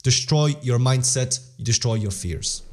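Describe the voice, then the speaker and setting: A teenage boy speaks as an interview guest, earnest and driven as he gives motivational advice. His pitch sits in the middle for a young man. He restarts sentences and repeats phrases as he pushes his point. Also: measured pace, darkish tone. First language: Polish-English bilingual, English stronger. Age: teens. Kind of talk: casual motivational talk.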